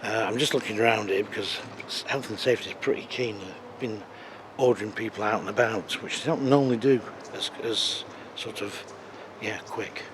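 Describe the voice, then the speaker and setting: A British man with a croaky voice from a cold, chatting casually with lots of ums while filming a walk-and-talk vlog in an exhibition hall foyer. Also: lowish voice, moderate pace, background noise. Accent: British English. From United Kingdom. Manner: chatty, rambling, with many ums. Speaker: vlogger.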